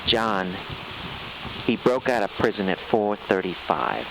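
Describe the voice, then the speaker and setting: A man's voice: lowish voice, very animated, measured pace, gravelly, noisy background, full character voice. An actor playing a worried male friend in a horror audio drama, grave and insistent in his warnings. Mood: urgent warning.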